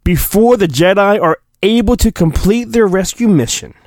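A man's voice: high voice, expressive, moderate pace, a little mellow, no room sound, studio quality. A man hosting a podcast, casual and self-deprecating, reading a children's storybook aloud in a playful mock-dramatic way. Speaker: podcast host.

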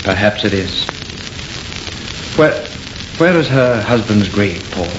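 A man's voice: fairly low pitch, lively intonation, slow pace, background noise. A sensitive, protective artist in a radio chiller. His emotional voice ranges widely with melodramatic feeling, muffled and echoing on an old recording. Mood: subdued. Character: sensitive, disbelieving male artist, passionately protective. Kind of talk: 1930s radio horror acting.

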